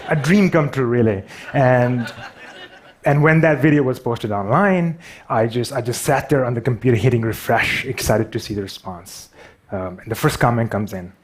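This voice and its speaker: A man's voice: medium pitch, normal speed. Self-deprecating Pakistani-accented man giving a talk. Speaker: public speaker. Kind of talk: personal storytelling talk to an audience.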